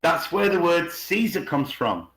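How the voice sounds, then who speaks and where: Male podcast co-host, higher-than-average pitch, conversational and emphatic, roomy online-call sound. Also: sing-song, a little slow, a bit bright. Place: indoors on an online group call.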